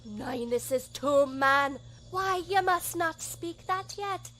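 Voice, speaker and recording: Casting: a woman as bossy amateur director in a radio comedy, high voice, slow emphatic delivery, exasperated. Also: lively intonation, fairly bright tone, faint music behind, full character voice. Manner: emphatic, instructing. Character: exasperated, bossy amateur play director correcting a hapless actor. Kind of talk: Shakespearean comedy radio play.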